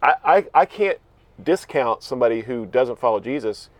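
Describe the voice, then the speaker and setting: A man's voice: medium pitch, lively intonation, moderate pace, dry recording. Earnest reflective male author guest. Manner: earnest, reflective, self-deprecating humor. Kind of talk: casual interview chat.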